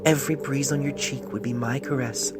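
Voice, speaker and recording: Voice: medium pitch, steady tone, fairly quick, music in the background, pitched above the performer's own voice, natural voice. An amateur narrator voicing a loving, sentimental pony reading out a love letter earnestly and tenderly, recorded on a phone. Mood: wistful, devoted.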